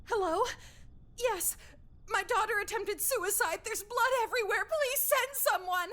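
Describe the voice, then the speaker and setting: Shrill, panicked mother. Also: unhurried, pushed and bright, exaggerated voice. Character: frantic, worried mother of a teenage girl. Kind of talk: visual novel game voice acting.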